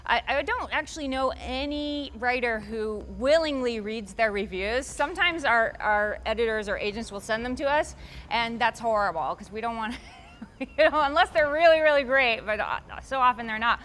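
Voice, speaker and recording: Woman writer chatting in an interview, kinda high bright voice, lots of restarts, goes up for emphasis. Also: moderate pace. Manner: chatty, rambling with frequent restarts. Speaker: author being interviewed. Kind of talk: casual interview answers.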